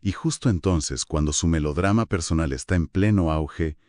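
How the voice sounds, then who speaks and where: Male book-show co-host, Castilian accent, low pitch, conversational delivery, dry recording. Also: normal speed, darkish tone, no echo. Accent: Castilian Spanish. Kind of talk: conversational literary discussion.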